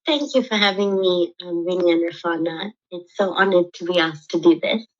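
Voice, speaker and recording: A young woman guest from the UK and Sri Lanka, telling her story in an interview with many pauses and ums. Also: on the low side, muffled recording. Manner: hesitant, many ums and restarts. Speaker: guest, human rights advocate. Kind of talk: interview answer, personal story.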